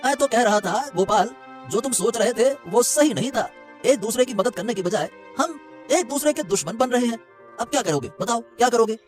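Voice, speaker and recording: A man's voice: extremely high pitch, animated, brisk. A man voicing a vengeful, taunting relative in a Hindi family cartoon, needling and repeating himself. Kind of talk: Hindi moral-story cartoon voice acting. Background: music.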